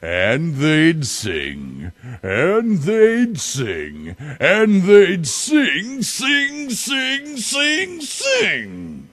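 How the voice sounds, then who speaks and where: A man reading a children's story theatrically, with dramatic, drawn-out emphasis. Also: high voice, wildly swooping pitch, fairly bright tone, no echo. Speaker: storybook reader.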